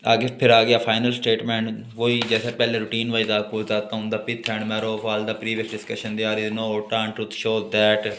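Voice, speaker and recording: Low, monotone Pakistani man giving a lecture. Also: unhurried, few pauses, noisy background. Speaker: law lecturer. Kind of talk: lecture, reading English legal notes aloud and explaining them.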